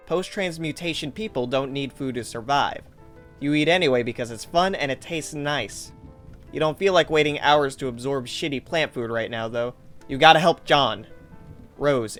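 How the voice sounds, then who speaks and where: A man's voice: on the high side, fairly bright tone. A man reading scripted second-person story narration as the narrator of a fan drama. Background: music.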